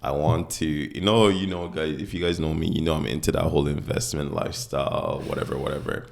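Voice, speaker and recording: A man in his twenties with a deep voice, co-hosting a podcast in a casual, rambling chat from a home kitchen. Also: a little fast, vocal fry. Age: 20s. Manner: rambling, full of "like" and "you know". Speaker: podcast co-host. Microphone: speaking close into a mic.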